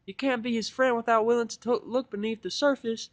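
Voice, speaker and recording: A man's voice: very high-pitched, fairly even intonation, a little fast, dry recording, pitched above the performer's own voice. A man voicing an eager, earnest young female pony with folksy country phrasing in an audio fan-fiction reading. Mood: pleading.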